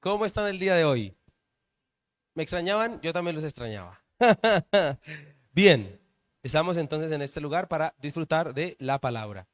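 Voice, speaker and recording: Pastor telling a story. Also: male voice, on the high side, expressive, moderate pace. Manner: conversational, asks the congregation questions. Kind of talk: sermon with a personal story. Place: church service.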